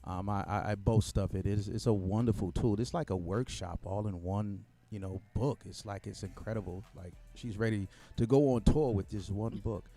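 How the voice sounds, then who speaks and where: A man of fifty-one hosting a lively panel chat show, teasing his guests playfully and cheering them on. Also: fairly low pitch, moderate pace, few pauses, faint music behind. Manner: playful, teasing, encouraging with frequent affirmations. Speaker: host.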